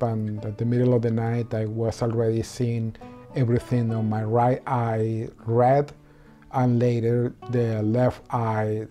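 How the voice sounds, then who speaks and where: A man with a Venezuelan accent answers interview questions in careful, halting English. His voice is mellow and relaxed, and a faint guitar plays softly behind him. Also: medium pitch. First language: Spanish. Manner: halting non-native English with many ums and restarts. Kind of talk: personal story interview.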